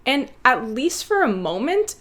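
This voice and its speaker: A woman's voice: high-pitched, big pitch swings, measured pace, fairly bright tone. A woman hosting a video essay, narrating a scripted lesson and playfully addressing viewers like a schoolteacher.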